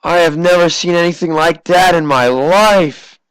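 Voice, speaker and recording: A teenage American man from Massachusetts giving casual, conversational amateur racing commentary, his voice slightly hoarse from a cough. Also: fairly high pitch, a little slow, a bit bright, no echo, low-quality recording. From Massachusetts, United States. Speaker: race commentator.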